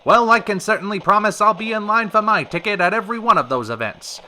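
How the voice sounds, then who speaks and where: A man playing a short-tempered, wisecracking talk show host in an old-time radio drama, fast-talking in theatrical period slang. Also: sits high, animated, bright, forceful tone, slight background noise, heavily acted. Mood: polished, irritated.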